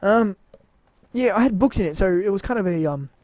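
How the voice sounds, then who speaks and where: A man's voice: sits high, animated, a little fast, darkish tone, no echo. A man giving a casual, rambling walkthrough of his game build, tired and with his voice wearing out, hesitant and mocking himself.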